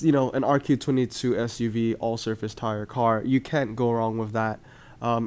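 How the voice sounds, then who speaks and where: A man's voice: medium pitch, darkish tone, clear, resonant tone. Chatty young man, voice off from a cold. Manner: chatty and upbeat, frequent "uh" and "um". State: voice slightly off from a cold. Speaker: mobile game video host. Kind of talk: casual gaming commentary to viewers.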